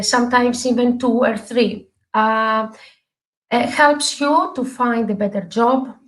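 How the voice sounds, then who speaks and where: A middle-aged woman from Greece, a native Greek speaker and English teacher, giving an earnest live online talk with many pauses and fillers. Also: on the high side, poor audio. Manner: earnest, halting with frequent fillers.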